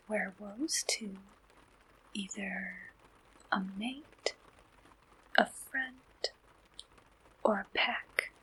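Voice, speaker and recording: A woman whispers slowly in an ASMR roleplay, very breathy with a bright, sibilant edge. She speaks as a gentle, reassuring receptionist, close to the microphone and never hurried. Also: medium pitch, low-quality recording. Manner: gentle, unhurried, reassuring intake questioning.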